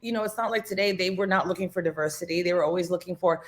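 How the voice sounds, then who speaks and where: A woman's voice: lowish voice, rapid-fire. A middle-aged woman from the Philippines, a guest in a radio studio, telling stories in casual chat with many "you know" fillers.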